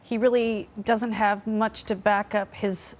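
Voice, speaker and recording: A woman's voice: mid-range voice, level tone, measured pace. A woman giving analysis on a news panel in a conversational, explanatory way. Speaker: political analyst, studio guest.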